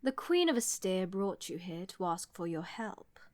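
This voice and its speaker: A woman's voice: fairly low pitch, big pitch swings, measured pace, no echo. A woman playing a blunt, pragmatic empress with a dry, sardonic, haughty manner in a fantasy audio roleplay. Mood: brisk, dry.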